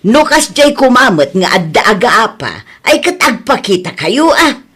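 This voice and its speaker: Babaeng gumaganap na palabang Ilokanang mataas ang boses. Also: female voice, fairly high pitch, big pitch swings, normal speed, fairly bright tone, slightly rough, exaggerated voice. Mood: scolding. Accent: Ilocano, northern Philippines. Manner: blunt, reproachful, firm. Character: scolding, outspoken village woman who stands her ground. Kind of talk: Ilocano audio drama acting.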